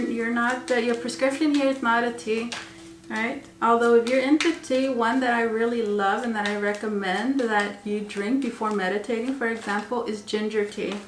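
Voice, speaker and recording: A woman giving a tarot reading to her viewers while losing her voice, rambling and repeating herself with many fillers. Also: a little high. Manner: rambling, repeats words with many ums and uhs. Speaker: tarot reader. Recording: echoey room with faint noise.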